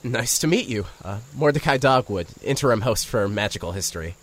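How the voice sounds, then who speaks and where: An actor playing an awkward, wry radio host turned investigator, narrating an audio drama in the first person with some hesitancy. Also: male voice, medium pitch, expressive, fairly quick, a bit bright. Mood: awkward, polite.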